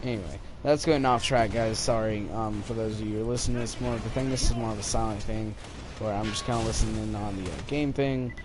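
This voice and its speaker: A man's voice: on the low side, fairly even intonation. A young man rambling casually and hesitantly through a personal story while he plays a video game. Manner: hesitant, halting, trailing off. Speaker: gaming video creator. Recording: clearly noisy amateur recording. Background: noise and video game sounds.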